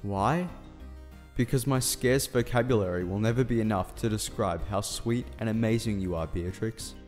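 A man's voice: lowish voice, normal speed, a little mellow, natural voice. A man playing an earnest, awkward scientist in love in a fan radio play, speaking formally and hesitantly. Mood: tender confession. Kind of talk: fan radio drama acting. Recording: reverberant hall sound with music underneath.

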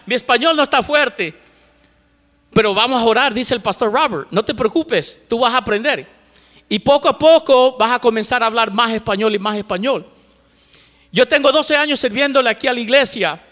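A middle-aged man preaching with a strikingly high, bright voice into a handheld mic. His words ring out with a long reverb across a large church auditorium. He repeats key phrases with fervor and drops in English words as a native English speaker. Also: fairly quick. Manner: fervent preaching with repetition, mixing in English words. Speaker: pastor. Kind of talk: sermon. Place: large church stage with lights. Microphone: handheld microphone.

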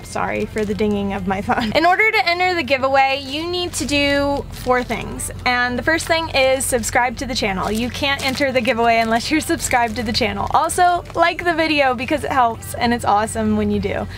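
A woman's voice: sits high, a little fast, hardly any pauses, a bit bright, soft background music. A young woman chatting casually and informally to the camera as a vlog host, recorded inside a truck cab. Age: young adult.

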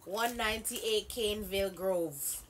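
A woman's voice: mid-range voice, unhurried, poor audio. A young Jamaican woman with a Jamaican accent, chatting casually in her bedroom as she tastes snacks, chewing while she talks and teasingly repeating words. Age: young adult. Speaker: vlogger. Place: small bedroom indoors. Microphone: fixed front-facing camera. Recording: wrapper crinkling noise. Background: crinkling snack wrappers.

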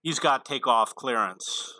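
Guy doing a casual game tutorial, really bright sharp voice, dry sound, just explaining stuff plainly. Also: mid-range voice, takes it slowly, no echo, poor audio. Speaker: video game tutorial presenter. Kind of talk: casual gameplay tutorial commentary.

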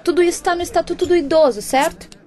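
An actress playing a sassy, kindly young barmaid in a Brazilian radio drama, direct and playful. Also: female voice, very high voice, quick pace, darkish tone. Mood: reassuring. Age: young adult. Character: sassy, kindly twenty-year-old barmaid.

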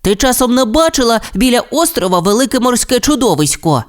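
Give me a timid little dinosaur boy from a children's storybook, put on by the narrator, high and squeaky, his voice swinging up and down as he frets. He sounds small, nervous and shaky. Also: sing-song, fairly quick, lightly acted. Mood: relieved. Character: timid little male dinosaur, easily frightened.

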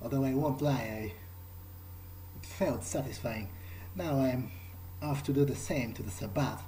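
A man improvising in-character dialogue as a gamer, in a hesitant, low-key way. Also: measured pace, mellow, slight background noise, rough recording.

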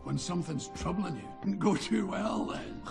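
A man voicing a big, boisterous, good-natured warrior king and father with a Scottish accent in an animated film. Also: sits high, moderate pace, gravelly, music in the background. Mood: gentle coaxing.

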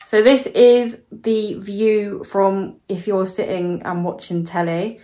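Unhurried British woman touring her home. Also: medium pitch, little pitch movement, mellow, recorded from a distance. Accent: British English. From United Kingdom. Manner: chatty, apologetic asides, frequent "so" and "um". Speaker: home tour vlogger. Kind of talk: casual walkthrough narration. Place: small house, indoors, walking room to room. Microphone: handheld phone camera. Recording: roomy sound, voice away from mic.

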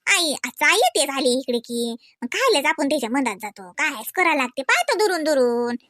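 A woman's voice: high voice, sing-song, moderate pace, a bit bright, no echo, studio quality. A woman voicing a gossipy, rambling village grandmother in a comedy cartoon, speaking colloquial Marathi with a rural Varhadi accent from Vidarbha. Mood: chatty, fond. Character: chatty village grandmother grumbling about family, fond of grandchildren.

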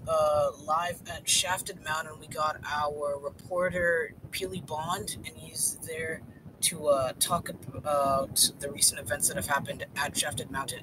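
A man voicing a goofy male TV news anchor who jokes, rambles and fills pauses with "uh". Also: fairly high pitch, background noise, natural voice. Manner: jokey, self-mocking, rambling with fillers.

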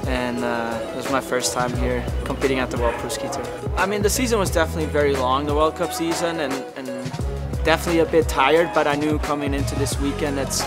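A man's voice: medium pitch, fairly even intonation, fast, over loud music. A young man, a pro ski racer, talking casually in an interview in a large indoor hall, rambling with lots of fillers and restarts. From Belgium.

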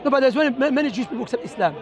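A man's voice: very high voice, lively intonation, very fast. A man debating religion with a passer-by outdoors in a busy park, insistent, repeating himself and reading scripture aloud, recorded on a nearby handheld camera. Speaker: street preacher debating a passer-by. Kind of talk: street debate. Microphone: handheld camera close by. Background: crowd chatter.

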